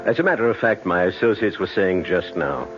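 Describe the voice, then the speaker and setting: Low-voiced, suave menacing creditor. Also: male voice, animated. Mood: smoothly menacing. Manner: formal, deliberate, courteous with pauses. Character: suave, veiledly threatening gentleman creditor, oily politeness. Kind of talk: old-time radio drama acting. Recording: muffled old radio, music behind.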